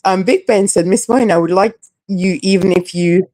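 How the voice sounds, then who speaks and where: A woman's voice: mid-range voice, normal speed, mellow, no echo. A woman from Canada hosting a casual live stream, reading out viewer comments and giving her opinions candidly.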